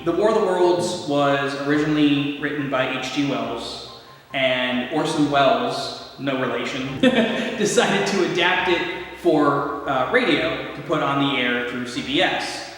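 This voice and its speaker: A man's voice: mid-range voice, measured pace, fairly bright tone, very echoey, big-room sound, distant mic, a little hiss or hum, rough recording. A man telling a story casually and with amusement in a documentary interview.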